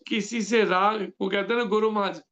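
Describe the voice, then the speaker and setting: A man giving a devotional scripture lecture who explains slowly and keeps quizzing his listeners with questions. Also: high-pitched, steady tone, fairly bright tone. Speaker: scripture class teacher.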